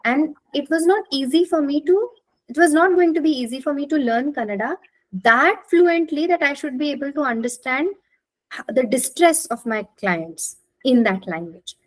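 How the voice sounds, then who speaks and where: A woman's voice: sits high, expressive, moderate pace, rough recording. An Indian woman from Maharashtra, a native Marathi speaker, giving a conversational, encouraging online talk and inviting participants to respond. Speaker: speaker leading an interactive talk, clinical psychologist. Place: online video call.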